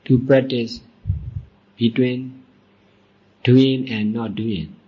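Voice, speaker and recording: Husky man guiding a meditation. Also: mid-range voice, level tone. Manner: deliberate short phrases with long pauses. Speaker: Buddhist monk and meditation teacher. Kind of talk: guided meditation dhamma talk. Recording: dull, muffled lo-fi recording.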